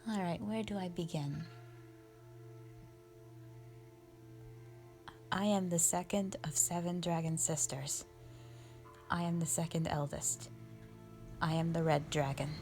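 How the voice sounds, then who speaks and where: A woman playing a calm, stern, ancient female dragon guardian in a roleplay audio drama, speaking in a formal, measured, proud way. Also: lowish voice, faint music behind, natural voice. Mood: calm, indulgent.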